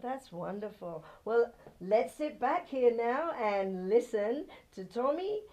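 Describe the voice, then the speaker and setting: A woman's voice: a little high, very animated, takes it slowly, darkish tone. A woman with a non-American English accent hosting a local TV talk show, bubbly and gushing as she interviews a guest and talks to viewers. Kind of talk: chatty TV interview.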